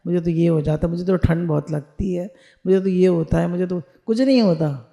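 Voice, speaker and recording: A woman's voice: on the low side, fairly quick, vocal fry, mellow. A woman spiritual teacher on a video call, leading listeners through affirmations they repeat after her, mixing Hindi with English phrases.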